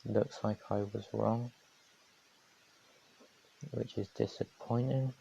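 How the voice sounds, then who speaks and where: A man calmly commentating his own strategy game on a live stream, his voice going hoarse, from New Zealand. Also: lowish voice, slow pace, muffled recording, a little hiss or hum. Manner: calm, matter-of-fact strategy talk.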